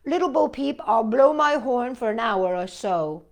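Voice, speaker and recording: A woman reading the part of a gentle, earnest small boy from a nursery rhyme in lilting, measured verse. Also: on the high side, lively intonation. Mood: gently questioning.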